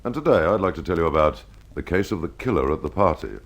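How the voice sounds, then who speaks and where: A man's voice: low-pitched, animated, fast talker, heavy background noise. A man narrating an old radio mystery in a genial, wry storytelling manner.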